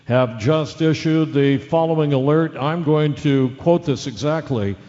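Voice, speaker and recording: Man acting as halting radio newsman, heavy reverb. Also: a little high, dark tone, natural voice. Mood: grave. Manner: halting, careful, apologetic. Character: male radio newsman, earnest, hesitant, increasingly rattled. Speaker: news reader. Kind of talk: live breaking-news radio acting. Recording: dull lo-fi audio with long reverb.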